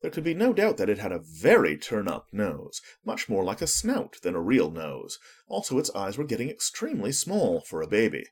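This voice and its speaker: A man's voice: on the low side, huge pitch swings, moderate pace, hardly any pauses, husky. A man narrating a storybook as a scripted audiobook, telling it expressively.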